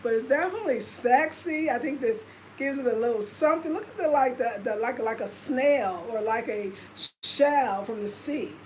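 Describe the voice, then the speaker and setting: A woman's voice: fairly high pitch, normal speed, a little mellow, a little hiss or hum, poor audio. A woman in her fifties with an American accent, chatting casually and confidingly to viewers on her livestream, full of fillers. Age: 50s. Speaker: lifestyle vlogger.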